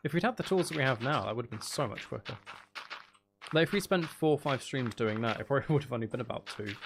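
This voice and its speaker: A man's voice: mid-range voice, animated, fast. A man with a British accent chatting casually and informally as he thinks aloud during a gaming livestream. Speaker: streamer. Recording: clear background noise with clicking.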